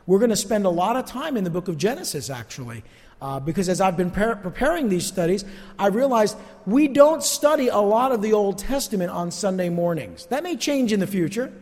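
A man's voice: high-pitched, lively intonation, fairly quick, a little mellow. A male pastor teaching a sermon in an earnest, emphatic preacher's manner. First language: not Spanish. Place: church sanctuary. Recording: church hall reverb.

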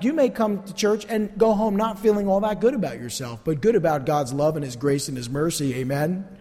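A male pastor teaching a sermon in an earnest, emphatic preacher's manner. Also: high-pitched. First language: not Spanish. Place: church sanctuary. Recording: church hall reverb.

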